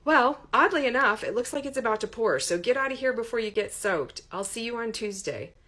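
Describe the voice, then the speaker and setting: A woman voicing a firm, quick-tempered but kind college professor in an audiobook, speaking with articulate authority. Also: on the high side, animated, bright, forceful tone, pitched above the performer's own voice. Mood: softer, helpful.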